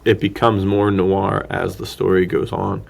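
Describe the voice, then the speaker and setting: A man's voice: low voice, level tone, unhurried, vocal fry, slightly rough. A man co-hosting a podcast, discussing film casually and thinking aloud in a rambling, slangy way. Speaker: podcast co-host.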